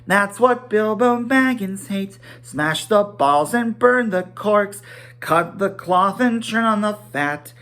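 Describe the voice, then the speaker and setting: A man's voice: high voice, animated, slow, bright, forceful tone, pitched above the performer's own voice, exaggerated voice. Man singing as boisterous, teasing dwarves. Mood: mischievous singing. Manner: rhythmic sing-song, mischievous.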